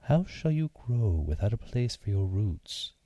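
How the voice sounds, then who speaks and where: A man voicing a calm, blunt, practical male cat in a children's storybook, speaking in a measured, matter-of-fact way. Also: on the low side, no echo, exaggerated voice. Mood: warning.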